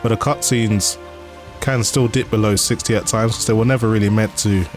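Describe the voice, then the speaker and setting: A man's voice: fairly low pitch, moderate pace. A man hosting a gaming channel, chatting casually about settings and performance with occasional fillers. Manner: relaxed, conversational, with fillers. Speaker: game channel host. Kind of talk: casual gameplay commentary. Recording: voice-over over game music.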